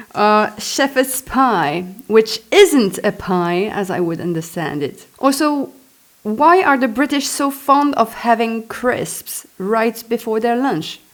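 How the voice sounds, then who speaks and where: A woman from Belgium, a native French speaker with a Belgian French accent, reads her own memoir aloud in a chatty, expressive way with spontaneous asides. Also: fairly high pitch. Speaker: author reading own book.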